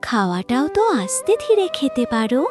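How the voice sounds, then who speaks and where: A woman playing a caring, stubborn young woodcutter's daughter in a cartoon, speaking affectionately with a gentle scolding manner. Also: fairly high pitch, sing-song, fairly quick, over music. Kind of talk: cartoon voice acting.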